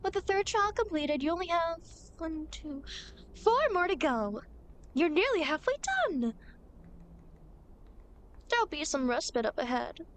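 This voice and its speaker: A woman's voice: sits high, animated, measured pace, long pauses. A woman playing a playful, smug demon girl guiding a soul through the afterlife in an ASMR roleplay, speaking in a theatrical, teasing way. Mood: mock-exasperated. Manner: theatrical, teasing, mock-grand phrasing. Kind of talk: ASMR roleplay, acted monologue to the listener.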